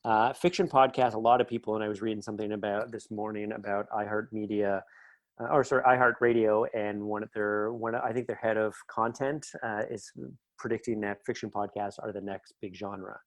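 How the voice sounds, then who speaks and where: A man's voice: lowish voice, fairly even intonation, fairly quick, no echo. A middle-aged Canadian man chatting casually and enthusiastically as an interview guest, going off on tangents. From Northern Ontario, Canada. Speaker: podcast guest, writer.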